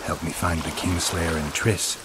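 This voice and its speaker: An actor playing a terse, brooding, battle-hardened monster hunter in a video game, understated in delivery. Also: male voice, low voice, little pitch movement, normal speed, heavy background noise, natural voice. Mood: grim, weary. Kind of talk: video game dramatic acting. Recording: loud music and rain behind.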